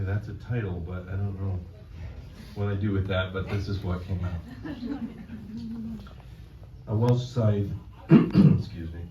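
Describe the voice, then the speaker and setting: A man reading his own poetry at a live event, speaking in a deadpan, measured, formal manner. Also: low voice. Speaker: poet reading own work. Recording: dull, roomy, distant event recording.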